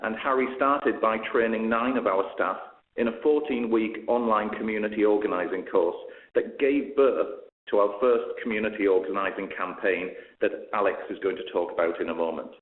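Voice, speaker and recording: A man's voice: fairly low pitch, normal speed, hardly any pauses. A man presenting informally as a speaker at an online seminar, over a web-conference line. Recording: echoey, narrow phone-like web-seminar line.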